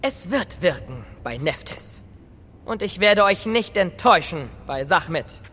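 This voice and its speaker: An actor playing a pompous, zealous Egyptian priest in a children's audio drama, commanding and haughty. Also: male voice, high-pitched, sing-song, a little slow, pushed and bright, big-room sound, exaggerated voice. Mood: zealous, threatening.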